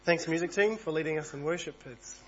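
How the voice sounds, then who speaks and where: A man over thirty-five, husky from a cold, preaching an evening church sermon in a conversational way and reading passages aloud. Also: on the high side, fairly quick, dull audio, a little hiss or hum. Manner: conversational, reads passages aloud, small asides.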